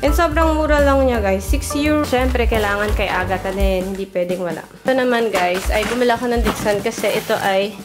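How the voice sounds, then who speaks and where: Filipina woman vlogger just chatting thru items casually, normal pitch, music pretty loud behind. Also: a little fast, hardly any pauses. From Philippines. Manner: chatty, itemizing. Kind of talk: casual grocery haul narration.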